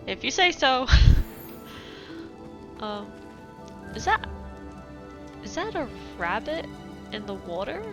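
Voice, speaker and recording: A woman's voice: high voice, sing-song, very slow, pauses often. A young woman with a mixed European accent, chatting casually and reading letters aloud while streaming a game, laughing often. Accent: mixed European English. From British, raised elsewhere in Europe. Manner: chatty, giggly, many fillers. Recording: music playing behind.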